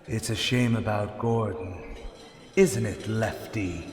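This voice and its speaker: A man acting a mysterious, commanding avenger in a radio drama, speaking deliberately in an ominous, insistent way. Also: fairly low pitch. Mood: menacing, taunting. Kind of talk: radio drama acting in old serial manner. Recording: echoey hall with long reverb.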